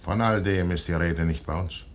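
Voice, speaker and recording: An actor playing a proud, shrewd imperial general in a classic German radio play, with theatrical, emphatic verse delivery. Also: male voice, deep voice, moderate pace, muffled recording. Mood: probing, haughty. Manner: theatrical, emphatic delivery swinging between hushed brooding and forceful declamation.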